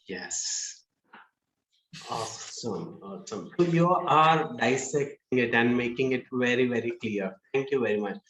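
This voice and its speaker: A man's voice: medium pitch, measured pace, a bit bright. A man hosting an interview as a life coach, affirming the guest briefly and summing up his points. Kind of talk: interview chat. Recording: echoey room.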